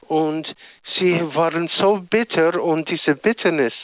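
A man's voice: a little high, no room sound. Older man calling in. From United States. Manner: slow, reflective, with non-native grammar. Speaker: caller. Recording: tinny phone line.

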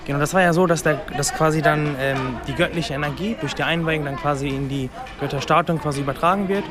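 Fast-talking young man in a crowd. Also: on the high side, over music. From Germany. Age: 20s. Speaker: interviewee, temple visitor. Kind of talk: spontaneous interview talk. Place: busy festival site, recorded on location. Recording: crowd noise around the voice.

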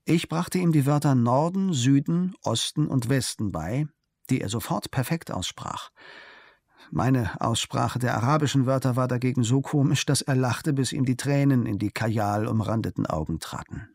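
A man's voice: mid-range voice, expressive, moderate pace, vocal fry, a little mellow, no room sound. A man reading a literary travel diary as scripted narration. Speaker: audiobook narrator.

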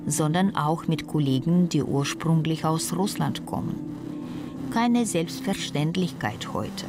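A woman reading scripted feature narration as a reporter, explaining things in a measured way. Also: low-pitched, animated, over loud music.

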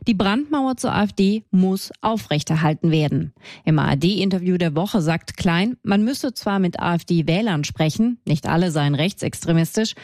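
A woman's voice: medium pitch, darkish tone, dry recording, studio quality. A woman delivering a scripted radio news report, formal and factual.

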